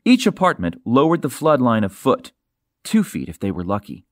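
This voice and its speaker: A man with a mid-pitched voice narrates a science fiction novel. He articulates carefully and tells it in a measured, dramatic way. The recording is close and dry, with no room sound. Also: huge pitch swings, no room sound. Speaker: audiobook narrator.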